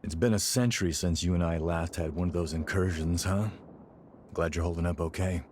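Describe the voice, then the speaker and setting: An actor playing a seasoned male warrior and loyal mentor in a video game, speaking in a measured, earnest, reflective way. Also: low-pitched, fairly even intonation, a little mellow, slight background noise, natural voice. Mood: reflective, wistful. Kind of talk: video game dramatic dialogue.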